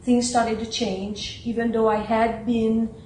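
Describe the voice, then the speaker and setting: A middle-aged woman from Switzerland, a guest talking about her work as a trainer and author in an eager, lively way with quick laughs. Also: a little high. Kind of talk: interview answers. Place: studio. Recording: echoey studio room, faint noise.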